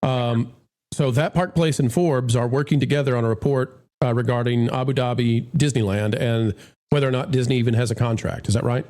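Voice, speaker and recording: Guy hosting a relaxed panel chat, average pitched voice, talks with his co-hosts. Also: a little fast. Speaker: host of an entertainment business panel show. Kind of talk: casual panel discussion.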